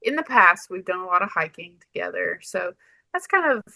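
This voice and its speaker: Bright-voiced chatty woman in her thirties. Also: lowish voice, expressive, a little fast, vocal fry, no echo. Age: 30s. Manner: chatty, laughs often, trails off. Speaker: podcast guest. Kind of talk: casual interview chat.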